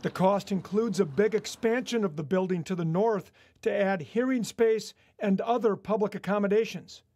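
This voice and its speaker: A middle-aged man giving a steady, emphatic live news report outdoors at night with a handheld mic. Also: sits high, moderate pace, a little hiss or hum. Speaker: chief political reporter. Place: outdoors at night by a government building. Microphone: handheld station mic.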